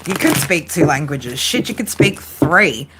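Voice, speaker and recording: Low-voiced, chatty tarot reader woman. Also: big pitch swings, unhurried, heavy background noise. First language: English. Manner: casual, chatty, swears freely. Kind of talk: live tarot reading.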